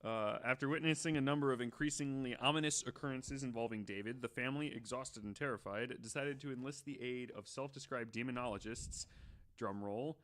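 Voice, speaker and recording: A man's voice: medium pitch, fairly quick, a bit bright. A man on a casual podcast, reading out facts and breaking off into joking, profane asides. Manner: reads facts then riffs with profane jokes. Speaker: podcast host.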